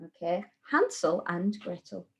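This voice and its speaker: A woman reading a rhyming children's story in a deliberate, sing-song way, as a teacher would at story time. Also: on the low side, expressive.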